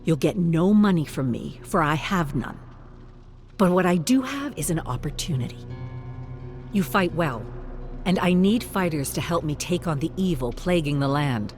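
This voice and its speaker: Male monster hunter, performed by a narrator: stern, proud, commanding, mid pitch, gothic fantasy storytelling with music behind. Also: animated, normal speed, darkish tone, close to an everyday voice. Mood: stern, urgent. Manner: firm, commanding.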